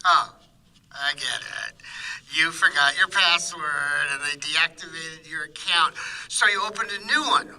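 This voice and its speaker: Actor as goofy, sharp, high-voiced chatty buddy. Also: male voice, measured pace, low-quality recording. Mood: chatty, oblivious. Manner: rambling, stammering. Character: Murph, goofy, chatty, oblivious male pal on the phone. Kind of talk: live radio play acting.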